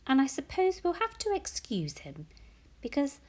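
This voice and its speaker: A woman reading a picture book aloud to young children, expressive and often putting questions straight to her listeners. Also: high voice, moderate pace.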